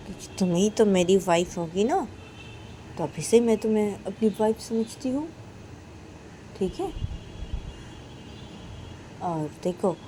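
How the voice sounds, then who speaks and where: A woman's voice: medium pitch, mellow, slight background noise, natural voice, poor audio. A woman voicing a possessive young woman in love who presses with repeated questions.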